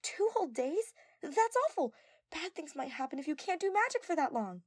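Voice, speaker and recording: A woman plays an energetic teenage girl in an audio story, devoted and easily flustered. The voice leaps up high and moves around a lot. It is earnest and gushing, recorded very close and dry. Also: normal speed, no echo. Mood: amazed, dismayed.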